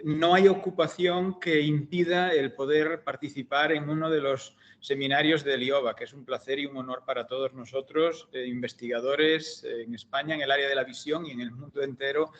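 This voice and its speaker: A man's voice: mid-range voice, fairly bright tone, lo-fi sound. Galician man giving an academic seminar. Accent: Galician Spanish. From Galicia, Spain. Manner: measured, hesitant with frequent fillers and restarts. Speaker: guest lecturer, optometry researcher.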